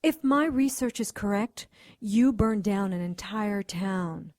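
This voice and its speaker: A woman's voice: mid-range voice, takes it slowly, a little mellow. A woman playing a resolute, vengeful occultist in an audio drama, intense and commanding in manner. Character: determined, vengeful female occultist, intense and defiant.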